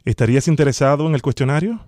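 A man's voice: medium pitch, racing, darkish tone, dry recording, clean studio sound, natural voice. Man posing as formal, officious immigration officer. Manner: formal, officious, repeats the name. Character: fake immigration officer, formal, bureaucratic, sternly polite man. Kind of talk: prank phone call, official questionnaire.

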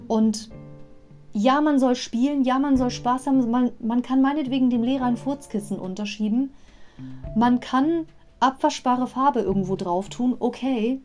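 A woman's voice: on the high side, normal speed, mellow, faint music behind. A woman hosting a fan podcast, retelling a children's audio story in a chatty, digressive way with personal asides. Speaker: podcast host.

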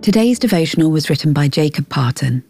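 Woman, low-pitched, mellow tone, scripted devotional narration, measured delivery. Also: lively intonation, faint music behind. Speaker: podcast host and devotional reader.

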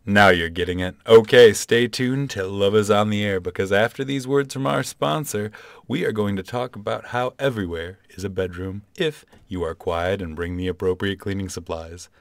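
A man playing a smooth, self-assured late-night love-advice radio DJ in a comedy radio play, with a theatrical, pompous delivery. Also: lowish voice, fairly even intonation, normal speed, no echo. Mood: suave, confident. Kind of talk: comedy radio play in old-time radio style.

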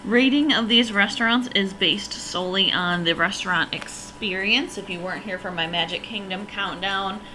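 A woman hosting her own podcast in a chatty, enthusiastic way, her voice slightly raspy and hoarse from allergies. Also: mid-range voice, moderate pace, few pauses, bright, forceful tone, a little hiss or hum, poor audio. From northern region. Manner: chatty, enthusiastic, rambling with fillers. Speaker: podcast host. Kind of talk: casual solo podcast review.

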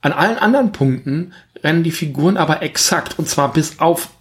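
A man's voice: fairly high pitch, brisk. A man with a German accent co-hosting a podcast, talking through a film in casual, searching speech with emphatic points. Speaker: podcast co-host. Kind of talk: casual film review chat.

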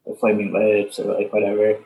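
A young man from Canada, a band member answering interview questions in soft, halting casual talk full of fillers. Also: lowish voice, completely flat delivery. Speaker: band leader, interview guest. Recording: echoey room.

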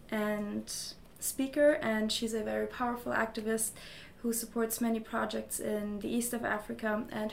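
Teen girl from Germany as interviewer, hesitant, vocal fry, echoey room. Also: a little high, a little hiss or hum. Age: teens. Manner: hesitant, with many "um" fillers. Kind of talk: interview questions.

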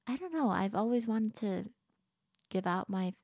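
A teenage girl hosts her own podcast and chats in an upbeat, rambling way, full of "like" and little restarts. Her voice is a touch tired and lower than usual. It is recorded close and dry, with a mid-pitched tone. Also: animated, a little slow, darkish tone, no echo. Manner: bubbly, upbeat, lots of "like" fillers. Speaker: podcast host. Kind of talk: casual solo podcast chat.

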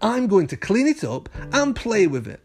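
Young male cartoon pup, eager and excitable, high and swooping, music behind man performing. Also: normal speed, heavily acted. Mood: sleepy, whiny.

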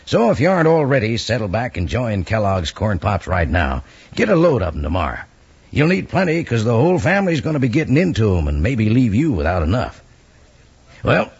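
An American man delivering an upbeat, folksy advertising pitch as a vintage radio announcer, with a husky voice. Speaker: commercial announcer. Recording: very tinny old radio recording.

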